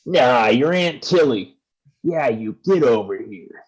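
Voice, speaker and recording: A man playing a mean, jeering boy bully in a readers theatre comedy, taunting and shouting, off the microphone. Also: mid-range voice, lively intonation, slow pace. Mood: menacing. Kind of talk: readers theatre performance of a comic story.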